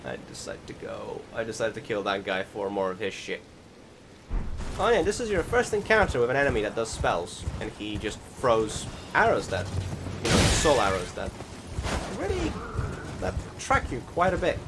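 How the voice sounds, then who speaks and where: A man giving casual, rambling voice-over commentary on recorded gameplay, often halting and restarting his sentences. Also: fairly low pitch, huge pitch swings, heavy background noise. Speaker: gaming video host. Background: music behind the voice.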